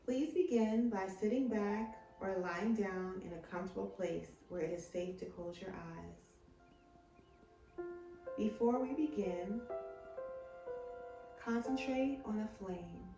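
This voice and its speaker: A woman narrating a guided meditation in a soothing, deliberate way, with phrases that trail off softly at the end. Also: medium pitch. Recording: roomy echo, music behind the voice. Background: meditation music.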